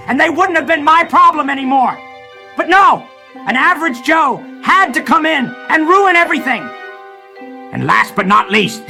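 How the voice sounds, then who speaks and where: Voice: mid-range voice, pushed and bright. An actor voicing a furious, scornful mad scientist in a cartoon comic dub, ranting with sneering sarcasm. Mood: bitter.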